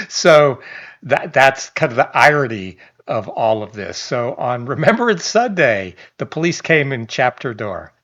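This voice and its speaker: A man's voice: mid-range voice, very animated, measured pace, fairly bright tone. A man, reading a news article aloud and adding casual commentary, with chatty asides, restarts and small chuckles. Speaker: online commentator. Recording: slightly dull lo-fi audio.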